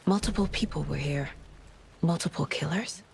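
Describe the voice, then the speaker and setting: A woman's voice: low-pitched, moderate pace, creaky, a little hiss or hum. A woman playing a composed, dry-witted female FBI agent in a video game, speaking in clipped, analytical phrases. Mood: focused, analytical. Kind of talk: video game dialogue and investigative monologue.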